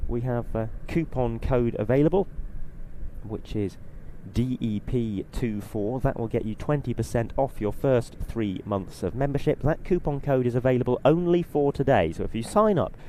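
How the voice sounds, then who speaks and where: A man giving live airshow commentary in an explanatory, ad-libbed way, his voice slightly husky from being short on sleep. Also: mid-range voice, moderate pace, dark tone, a little hiss or hum.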